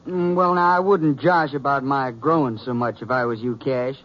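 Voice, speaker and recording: A man's voice: medium pitch, steady tone, normal speed, fairly bright tone, slight background noise, lightly acted. An actor playing a cocky, feisty young man of about twenty in a 1950s radio western, folksy and bantering. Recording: muffled old radio recording.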